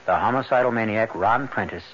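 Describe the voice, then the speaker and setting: A man hosting a radio play with dramatic, portentous and deliberate scripted narration. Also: fairly low pitch. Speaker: host and narrator. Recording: muffled old radio broadcast. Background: music.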